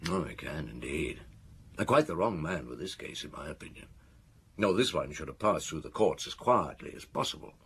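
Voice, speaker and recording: A seasoned detective in a radio drama, low-pitched and lively in intonation. He reflects on a case with deliberate, thoughtful authority. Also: slightly rough, full character voice. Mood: reflective, regretful.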